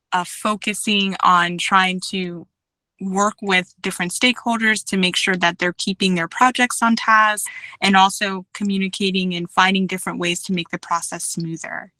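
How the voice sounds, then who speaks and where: A woman answering job interview questions over a video call, measured and careful, with frequent fillers and restarts. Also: medium pitch, bright, forceful tone, dry recording, poor audio. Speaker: job candidate.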